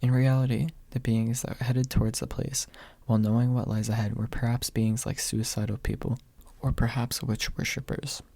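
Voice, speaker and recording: Hushed, creaky-voiced young man reading aloud. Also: mid-range voice, level tone, moderate pace, no echo. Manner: soft-spoken, hushed late at night. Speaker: amateur audiobook reader. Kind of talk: ASMR, quiet storybook narration. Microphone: close USB microphone without pop filter.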